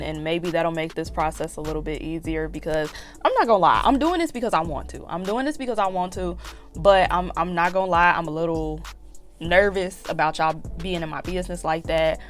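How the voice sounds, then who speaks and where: Twenty-something woman vlogging, kinda raspy from allergies, lowish voice, lots of "like" and restarts. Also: animated, normal speed, faint music behind. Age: 20s. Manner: chatty, candid, frequent fillers and restarts. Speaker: YouTube vlogger. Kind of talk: casual confessional vlog chat.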